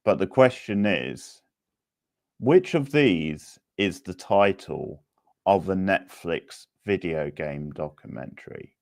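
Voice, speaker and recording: Deep, gravelly-voiced man hosting a quiz. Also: lively intonation, a little mellow. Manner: addresses players informally by name, reading options out deliberately. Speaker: quiz host. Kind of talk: casual live quiz hosting.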